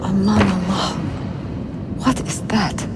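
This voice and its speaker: An actress playing a fiery, headstrong rebel spy in a video game, blunt and impassioned. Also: female voice, medium pitch, level tone, slow pace, heavy background noise, natural voice. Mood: shocked, angry. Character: fiery, headstrong female rebel spy rescuing her men. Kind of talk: dramatic video game acting. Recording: loud music behind.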